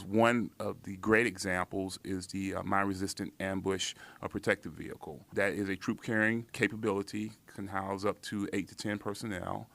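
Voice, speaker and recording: A man speaking as an army commander in an interview, giving formal, measured explanations in official wording. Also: sits low, creaky, fairly bright tone.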